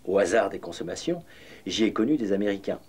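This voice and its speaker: Male documentary voice-over, an actor as a reflective painter recalling bohemian days; low-pitched, husky, measured, literary delivery. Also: animated, darkish tone, exaggerated voice. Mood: reflective, nostalgic. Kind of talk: scripted first-person narration.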